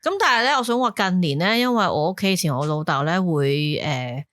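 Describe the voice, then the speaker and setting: A woman co-hosting a podcast in Hong Kong Cantonese, telling stories in a lively, chatty way. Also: fairly low pitch, dry recording, clean studio sound. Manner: animated storyteller, quick asides. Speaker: podcast co-host. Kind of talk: casual chat.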